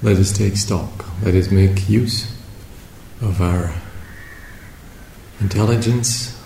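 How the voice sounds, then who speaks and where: A man leading a guided meditation as a teacher, speaking reflectively in short phrases with long pauses between them. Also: low voice, gravelly, mellow. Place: indoor room at a meditation retreat. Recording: roomy echo with faint background noise.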